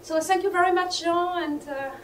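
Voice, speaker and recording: High-voiced woman introducing a lecture. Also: moderate pace. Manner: encouraging, repeats key points. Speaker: lecturer introducing a guest speaker. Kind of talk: spoken introduction to a university lecture. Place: lecture room. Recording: live lecture recording with background noise.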